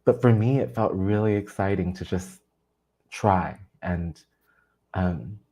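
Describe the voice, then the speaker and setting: Guest poet, Vietnamese first language, low register, earnest, quick to laugh. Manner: earnest, animated, laughs often. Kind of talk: reflective conversation on craft.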